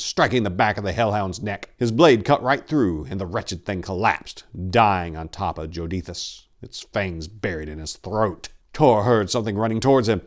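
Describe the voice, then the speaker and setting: A man narrating in the style of a dramatic story reading, with a theatrical, measured delivery. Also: lowish voice.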